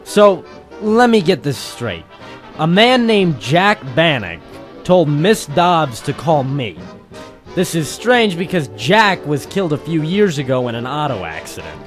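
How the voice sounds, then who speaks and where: An actor playing a hard-boiled, wry private eye in a noir radio play, with clipped storytelling. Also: male voice, on the high side, big pitch swings, unhurried. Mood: dry, guarded. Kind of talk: noir radio-play detective narration and dialogue. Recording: music under the voice.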